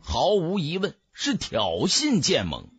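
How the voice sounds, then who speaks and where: A man narrates a fantasy novel as a dramatic audiobook. His voice sits a little high and moves very expressively, with faint music underneath. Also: wildly swooping pitch, measured pace, breathy edge. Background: background music.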